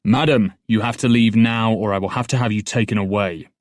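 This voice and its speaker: A man's voice: on the low side, steady tone, fairly quick, dry recording, clean studio sound, close to an everyday voice. A man voicing a stern, flustered young castle guard in an audiobook, speaking in firm, formal commands. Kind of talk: audiobook character voice.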